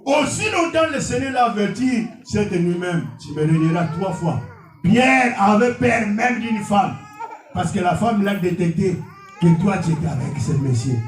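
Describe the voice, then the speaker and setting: A man whose first language is Portuguese, preaching an impassioned sermon in a church and often shouting. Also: high voice, expressive, a little slow. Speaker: pastor. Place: church hall. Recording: echoey hall, distant mic, faint music.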